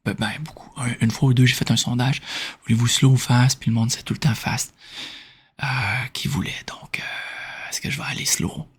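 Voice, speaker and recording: Whispering Québécois man, breathy and gravelly. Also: mid-range voice, fairly even intonation, moderate pace. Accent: Québécois French. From Quebec, Canada. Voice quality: whispery, breathy, soft-spoken. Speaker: ASMR video creator answering viewer questions. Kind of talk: ASMR, informal question-and-answer.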